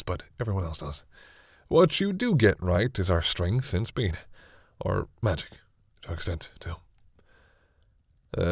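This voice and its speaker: Man playing a low-voiced, proud yet awkward vampire. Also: dry recording, studio quality. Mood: matter-of-fact warning. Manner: hesitant, sighing, wryly condescending toward humans. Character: male vampire captor, proud but awkwardly softening. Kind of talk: intimate audio roleplay addressed to the listener.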